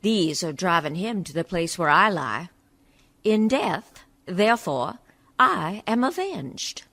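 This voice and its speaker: A woman playing a bitter, wronged wife speaking from the grave in a measured radio play monologue. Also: lowish voice, sing-song, fairly bright tone, exaggerated voice. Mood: vengeful.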